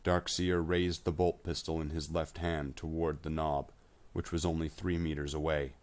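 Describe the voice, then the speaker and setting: A male storyteller reads dramatic fiction in a very deep voice. His delivery is slow and measured, with deliberate phrasing throughout. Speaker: narrator. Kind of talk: scripted dramatic story narration.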